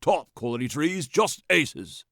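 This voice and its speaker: Man voicing a jolly, folksy old gentleman signpost. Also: on the high side, sing-song, a little slow, gravelly, no room sound, clean studio sound, voice pushed up, full character voice. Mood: proud, jovial. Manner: folksy, joking, courtly salesman patter. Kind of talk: audiobook character voice.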